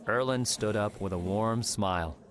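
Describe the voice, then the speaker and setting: A man's voice: on the low side, normal speed. A man reading scripted story narration as an audiobook narrator.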